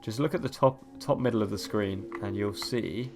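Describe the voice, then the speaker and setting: Sniffly, croaky young man over game music. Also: lowish voice, moderate pace. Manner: rambling, many ums and restarts. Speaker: let's-play host. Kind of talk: casual gameplay commentary.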